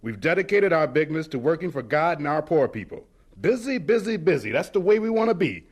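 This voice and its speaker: An actor in an audio drama playing a busy, genial but demanding college principal with a clipped, bustling manner. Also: male voice, on the high side, very animated, fairly quick, dull audio. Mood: rousing, emphatic. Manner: clipped, bustling, self-interrupting, emphatic.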